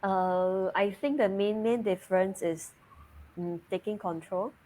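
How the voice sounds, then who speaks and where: A woman in her thirties from Singapore with a Singaporean English accent, a guest chatting openly in an interview over a video call, often breaking into soft laughs mid-sentence. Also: mid-range voice, measured pace, noisy background. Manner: laughs lightly mid-sentence, relaxed and open. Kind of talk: casual interview chat.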